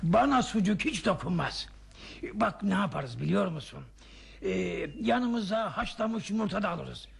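Very gravelly, high-voiced old man. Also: very animated, a little slow, exaggerated voice. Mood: eager, chatty. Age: older. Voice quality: husky, gravelly. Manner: hesitant, chatty. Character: fussy, eager old man, a childlike companion. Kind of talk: radio theatre acting.

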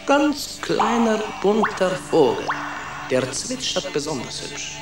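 A carefree, well-travelled rat full of boasts, with an Italian accent. He tells stories slowly and theatrically in broken syntax, as in a children's radio play. Music plays behind him in a roomy space. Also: high-pitched, wildly swooping pitch, heavily acted. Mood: dreamy, enthusiastic.

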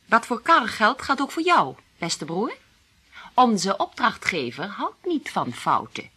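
An actress playing a mocking, scheming spy in a radio play, speaking with a sardonic, teasing edge. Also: female voice, medium pitch, sing-song, takes it slowly, bright, forceful tone, husky, heavily acted.

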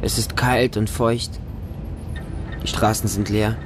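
A man's voice: fairly low pitch, fairly even intonation, slow, background noise. A man playing a lonely, naive small homeless boy who narrates his life in a radio drama in short, plain sentences. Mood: lonely, wary.

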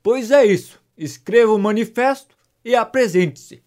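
Man acting idle young gentleman, high male voice, Brazilian accent, breezy, teasing, mock-polite, period comedy audio drama. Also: normal speed, close to an everyday voice. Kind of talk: audio drama of a 19th-century stage comedy.

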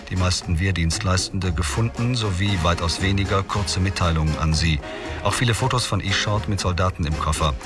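An actor voicing a clipped official report, spoken in a low voice from a step back from the mic. music plays clearly underneath. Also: male voice, far from the mic, close to an everyday voice. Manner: clipped, reading in note form. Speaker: reader of a police report. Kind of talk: radio drama reading.

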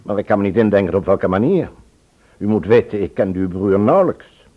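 A polite, guarded man talks in an old radio drama. His voice is husky and lively in intonation, on a dull, lo-fi recording. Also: on the low side, a little fast. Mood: guarded, puzzled. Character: polite, smooth-mannered, guarded man receiving a questioner.

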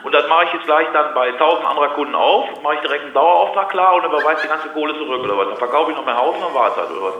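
A man's voice: a little high, expressive, racing, few pauses, echoey room, lo-fi sound. A man arguing on a call in a blunt, confrontational, stammering way. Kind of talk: annoyed phone argument.

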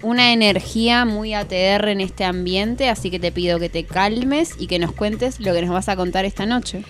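Radio host, woman, Argentine accent, medium pitch, playful banter over a clearly audible music bed. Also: normal speed. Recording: prominent music under the voice. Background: clear background music.

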